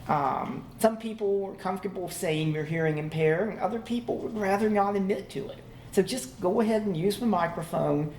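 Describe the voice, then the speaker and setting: A man giving a relaxed, conversational conference talk to a live audience, laughing easily as he goes. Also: sits high. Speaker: conference presenter. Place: conference room. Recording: echoey room, faint background hum.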